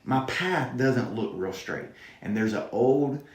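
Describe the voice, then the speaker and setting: A man's voice: medium pitch, lively intonation, slow pace, echoey room. A man with a Southern accent, a pastor speaking earnestly in a podcast interview and quoting scripture. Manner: earnest, preacherly, quotes scripture. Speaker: student pastor, guest.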